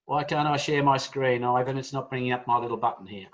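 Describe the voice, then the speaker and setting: A man's voice: medium pitch, quick pace, a bit bright. A middle-aged man with an Australian accent, giving a loose, informal business talk on a video call, full of fillers and friendly asides. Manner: chatty, many "uh" fillers, friendly asides to the audience. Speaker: business speaker. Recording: dull lo-fi video call audio.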